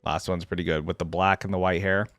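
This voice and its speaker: A man giving casual, unscripted reaction commentary as a gaming fan, slangy and thinking out loud as he second-guesses himself. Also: deep voice, little pitch movement, quick pace, no room sound, clean studio sound, soft background music.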